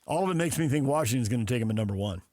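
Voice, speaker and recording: A man's voice: medium pitch, lively intonation, rapid-fire, a little mellow, no echo, clean studio sound. A man hosting sports talk radio, discussing in a casual, opinionated way.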